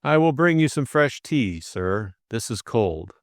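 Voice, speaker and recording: A man voicing a stiff, deferential valet in an audiobook, speaking in a measured, unflappable, scrupulously polite way. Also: medium pitch, sing-song, dry recording, studio quality. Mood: implacable, formal. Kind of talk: audiobook character acting.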